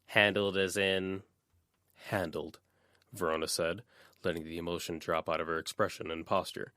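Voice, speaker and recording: A man with a low voice narrates a story as an audiobook reader. The sound is dry and close, with no room around it. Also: a bit bright, no echo. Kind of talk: scripted narration of fiction.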